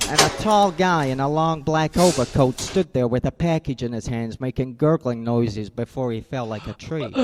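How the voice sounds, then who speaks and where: An actor playing a tough, wisecracking private eye who talks in terse, sardonic lines and narrates in a hard-boiled style. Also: male voice, mid-range voice, background noise, close to an everyday voice.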